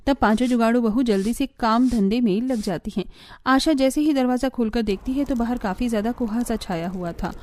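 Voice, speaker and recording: A woman's voice: on the high side, brisk, a little mellow, a little hiss or hum. A woman reading scripted narration for a cartoon family story, as the storyteller.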